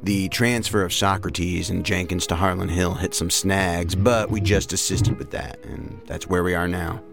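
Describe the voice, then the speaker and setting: An actor playing a level-headed, plain-spoken federal agent, direct and matter-of-fact, in an audio drama. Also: male voice, low voice, steady tone, moderate pace, gravelly, over music. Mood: businesslike.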